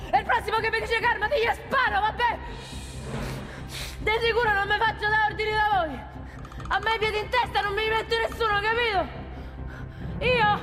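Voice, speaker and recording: An actress playing a blunt, stubborn, desperate working-class woman with a Roman accent in a theatrical monologue. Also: very high-pitched. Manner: blunt, defiant, colloquial. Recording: hall reverb, faint music behind.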